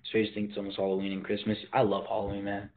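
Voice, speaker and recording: A man's voice: lowish voice, completely flat delivery, racing. A teenage boy hosting a casual livestream from his bedroom, talking in a slangy, laid-back way and reading viewer comments aloud, filmed on a phone at arm's length. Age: teens. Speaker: livestream host. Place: bedroom, indoors. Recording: tinny phone-like audio.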